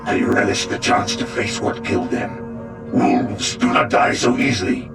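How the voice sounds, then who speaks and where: A man playing a fierce, proud armoured wolf-brotherhood warrior in a video game, speaking in gravelly, solemn battle declamation. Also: mid-range voice, measured pace. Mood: grim awe. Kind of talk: dramatic video game acting. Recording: echoey, distant, under very loud music.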